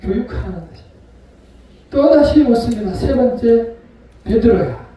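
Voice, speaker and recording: A male pastor preaching a sermon, retelling a story with acted-out voices and sharp swings between loud and soft. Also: extremely high pitch, lively intonation, moderate pace. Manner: storytelling, acts out quoted voices. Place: church sanctuary. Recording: echoey hall from far off.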